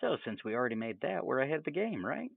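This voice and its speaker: Tinny-sounding man with wildly swinging pitch. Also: big pitch swings, quick pace, vocal fry, husky, no room sound. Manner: rambling, self-amused asides in a chatty, jokey way. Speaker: tutorial presenter. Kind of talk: casual, off-the-cuff software tutorial narration.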